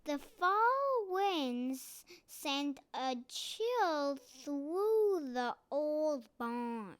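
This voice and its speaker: A child reading a picture book aloud carefully, phrase by phrase, with pauses. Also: high-pitched, no echo. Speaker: storybook reader.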